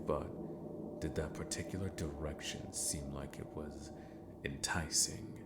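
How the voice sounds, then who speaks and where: A man playing a gentle, possibly mad villain mentor in a fantasy ASMR roleplay, speaking formally and theatrically, with scheming courtesy. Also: very noisy. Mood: probing, curious. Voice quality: deep, gravelly. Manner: slow, formal, theatrical, sometimes muttering to himself.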